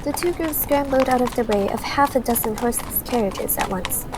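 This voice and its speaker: A woman's voice: fairly high pitch, fairly quick, background noise, lightly acted. A woman playing the storytelling narrator of a radio drama, reading scripted narration. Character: storytelling narrator of a youth adventure.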